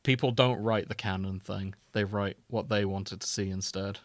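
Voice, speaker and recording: A man's voice: on the low side, fairly even intonation, normal speed, no room sound. A man hosting a podcast in a casual, conversational style. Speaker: podcast host. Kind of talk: casual chat.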